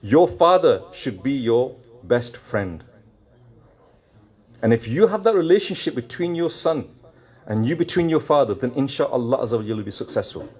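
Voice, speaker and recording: A man giving a mosque sermon, animated and full of rhetorical questions, slipping in Urdu and Punjabi phrases. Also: mid-range voice, normal speed, slightly rough. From United Kingdom. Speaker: preacher.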